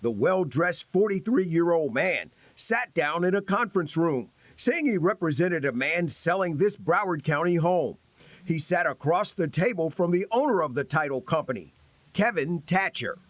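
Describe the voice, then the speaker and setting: A man's voice: a little high, lively intonation, moderate pace, dry recording. An American man delivering scripted investigative news narration as a television reporter.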